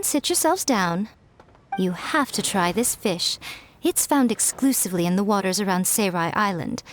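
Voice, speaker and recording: A woman's voice: medium pitch, slight background noise, close to an everyday voice. A woman voicing a sly fox-spirit lady in a video game, who speaks with smug, languid teasing.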